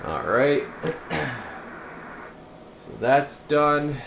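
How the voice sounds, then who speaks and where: A man's voice: on the high side, sing-song, very slow, creaky, fairly bright tone, slight background noise, rough recording. A man giving a casual, rambling hands-on demonstration as a radio repair technician, explaining things informally as he works at his bench. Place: indoor radio repair workbench.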